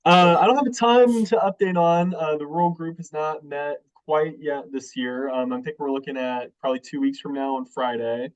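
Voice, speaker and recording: A man's voice: a little high, normal speed, hardly any pauses. Young man, stuffed-up nasal voice, casual and hedging, muffled call sound. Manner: relaxed, hedging with "kind of". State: nasal from a cold. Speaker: rural work group lead. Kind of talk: casual meeting update.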